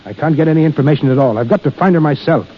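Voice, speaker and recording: Old radio play, desperate young lieutenant, clipped fast talk, muffled hissy sound. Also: male voice, fairly high pitch, slightly rough. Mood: desperate, defiant. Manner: urgent, clipped, intense. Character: desperate, headstrong lovesick young army lieutenant. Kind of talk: 1930s radio drama acting.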